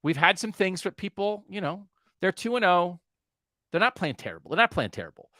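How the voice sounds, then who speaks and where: A man hosting a sports podcast, talking casually in an animated way and asking his co-host questions. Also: high-pitched, a little fast, no room sound, studio quality.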